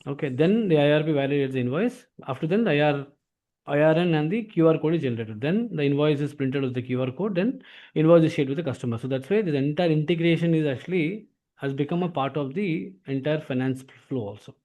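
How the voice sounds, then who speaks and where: A man with an Indian accent explaining software as an instructor, often tagging phrases with "actually". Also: a little high, lively intonation, fast, phone-quality sound. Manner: repeatedly tags phrases with "actually". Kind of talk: explanatory software training lesson.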